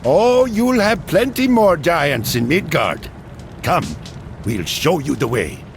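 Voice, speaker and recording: A man voicing an excitable little dwarf smith in a video game cutscene, exclaiming and pleading. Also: on the high side, takes it slowly, vocal fry, noisy background, exaggerated voice. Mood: gruff, resigned.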